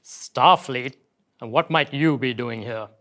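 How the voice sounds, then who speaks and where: A man's voice: medium pitch, slow, fairly bright tone. Man playing a wary, formal alien merchant. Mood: wary, businesslike. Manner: suspicious, formal. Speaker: reader at a table read.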